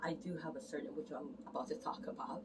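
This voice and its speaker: A woman massage therapist talks to camera in a casual, earnest ramble, often restarting her sentences. Her voice sits low. She sounds set back from the mic, and a steady noise runs underneath her. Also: fast. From Canada immigrant, arrived as a teen. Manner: rambling, self-correcting, earnest. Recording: distant mic, noticeable background noise.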